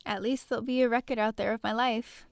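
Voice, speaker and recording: High-voiced, sardonic young space heroine. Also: female voice, fairly even intonation, quick pace, gravelly, clear, resonant tone, no echo, studio quality. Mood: wistful. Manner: theatrical, sardonic, casual exclamations and asides. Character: chatty, exasperated young space-opera heroine, dramatic and bookish. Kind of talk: first-person scripted audio drama narration.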